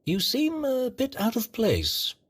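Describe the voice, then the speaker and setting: A man's voice: extremely high pitch, huge pitch swings, takes it slowly, slightly rough, heavily acted. A man playing a haughty Sith sorcerer in a video game, speaking in a wry, sardonic and formal manner. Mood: wry, dry. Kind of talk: scripted video game acting.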